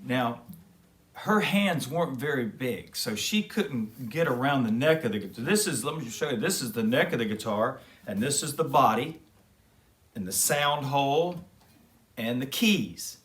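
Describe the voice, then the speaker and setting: A man hosting a kids' show, talking gently and folksily to children between guitar demos. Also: medium pitch, lively intonation, moderate pace. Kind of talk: friendly talk to kids with guitar demos. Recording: music loud in background.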